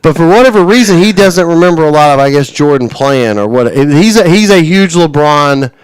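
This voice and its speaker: A man's voice: fairly high pitch, expressive, fairly quick, clean tone, dry recording, poor audio. A man in his forties hosting a radio show, chatting casually, rambling with frequent restarts and chuckles. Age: 40s. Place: radio studio.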